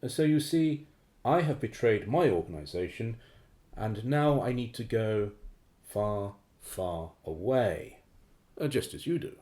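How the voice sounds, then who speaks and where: Male actor for secretive radio-play defector: courteous, hesitant, uneasy man with a soft-edged tone and swooping melody. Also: on the low side, animated, unhurried. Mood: confessional, uneasy. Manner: hesitant, formal, well-spoken. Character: secretive male operative betraying his shadowy organization, uneasy. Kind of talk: radio drama acting.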